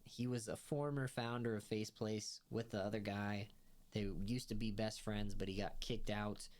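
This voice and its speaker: A man's voice: fairly low pitch, fairly even intonation, normal speed. Rambling young man reviewing a show. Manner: rambling, many fillers, laughs often. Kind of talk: casual spoken review.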